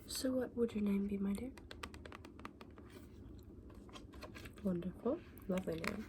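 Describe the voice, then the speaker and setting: A woman's voice: mid-range voice, a little fast, natural voice. Whispering, kindly fantasy shopkeeper woman, acted by a woman. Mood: welcoming, curious. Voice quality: soft, breathy whispering. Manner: gentle, polite, quaint old-fashioned phrasing. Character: kindly, eccentric fantasy shopkeeper woman selling dragon eggs. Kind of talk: ASMR roleplay. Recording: clearly audible background noise.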